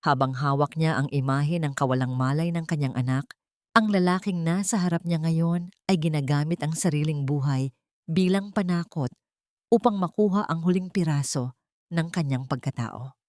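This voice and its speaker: Female narrator for solemn audio drama: lowish voice, dramatic scripted delivery, close dry recording. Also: moderate pace, a little mellow, no room sound. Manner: solemn, literary storytelling.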